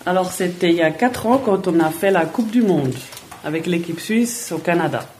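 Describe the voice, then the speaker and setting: A woman's voice: on the low side, animated, normal speed, a little mellow, a little hiss or hum. A woman, a footballer answering children's questions plainly and in a friendly way, often repeating words as she thinks. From Switzerland. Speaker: footballer guest.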